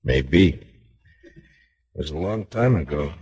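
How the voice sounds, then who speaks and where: An actor playing a stern, laconic American frontier marshal in a 1950s radio western, terse and grave. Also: male voice, very deep voice, lively intonation, slow, a little mellow, breathy edge, dull audio, heavily acted. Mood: calm, guarded. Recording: noisy vintage radio recording with clicks.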